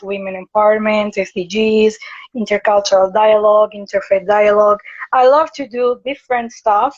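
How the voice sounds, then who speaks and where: A woman in her thirties from Morocco, a guest speaker chatting on a live video stream. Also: a little high. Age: 30s. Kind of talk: casual chat on a live stream. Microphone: video call. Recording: dull lo-fi live stream audio.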